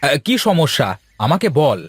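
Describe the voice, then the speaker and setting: A man playing an excitable, friendly village clothes shopkeeper in a cartoon, jovial and full of exclamations. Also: a little high, measured pace, breathy edge, no echo, heavily acted. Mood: excited, businesslike. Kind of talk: Bengali moral-story cartoon voice acting.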